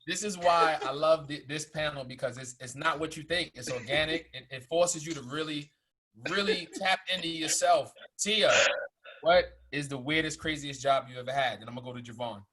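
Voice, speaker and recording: A man's voice: fairly high pitch, moderate pace, pushed and bright. A man hosting a comedy panel, upbeat and prompting the guests with questions. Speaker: panel host.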